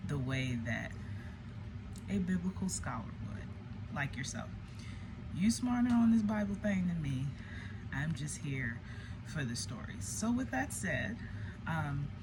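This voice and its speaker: A host chatting casually to an online audience in an informal, candid, self-deprecating way, with folksy, colloquial phrasing. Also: mid-range voice. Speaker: host, storyteller. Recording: clearly noisy background with ambient sound.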